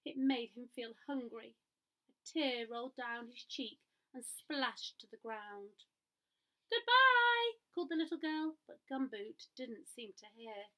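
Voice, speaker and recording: A woman reading a picture book aloud to children in an expressive way, pausing to ask her listeners questions. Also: on the high side, poor audio. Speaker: storyteller.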